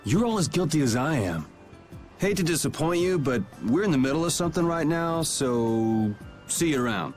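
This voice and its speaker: A man's voice: fairly high pitch, expressive, moderate pace, faint music behind, natural voice. Laid-back, wry young swordsman played by a man. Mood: wry, guarded. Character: cool, wry young male guild swordsman, self-assured. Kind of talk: video game dub acting.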